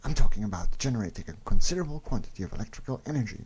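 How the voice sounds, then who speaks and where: Bold gentleman adventurer, male actor: urbane, confident, determined, slightly low voice, comic-book drama. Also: expressive, rapid-fire, lightly acted. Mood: confident urgency. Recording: clearly noisy recording.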